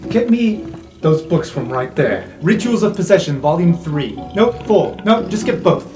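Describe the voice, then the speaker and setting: A man's voice: sits high, sing-song, unhurried, voice pushed up. A man voicing a commanding, impatient male wizard professor in a tabletop roleplay. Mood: urgent. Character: bossy, brusque male wizard professor, Dean Valentin. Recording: roomy sound with music behind.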